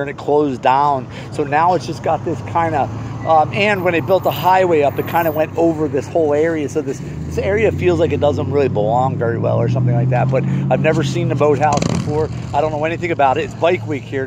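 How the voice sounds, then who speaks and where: A man in his forties giving a casual, unscripted walk-around vlog tour, rambling and thinking aloud into a handheld phone. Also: a little high. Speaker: vlogger reviewing local bars. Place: outdoors in parking lot and around bar. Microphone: handheld phone at arm's length. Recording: noisy handheld phone recording outdoors.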